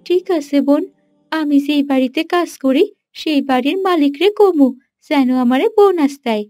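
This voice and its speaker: A woman's voice: high voice, normal speed, mellow, no echo, soft background music, lightly acted. A woman voicing a poor, dutiful village girl in a cartoon, plaintive and humble, with a rural Bangladeshi accent. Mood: tender, worried.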